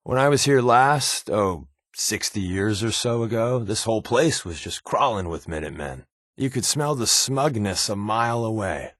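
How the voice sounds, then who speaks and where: A man's voice: lowish voice, animated, moderate pace, fairly bright tone, no echo, studio quality. A man playing a sly, wry undercover resistance agent in a video game, talking in a casual, glib, sardonic way. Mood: joking, sardonic. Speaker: video game companion character. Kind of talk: scripted game dialogue, conversational.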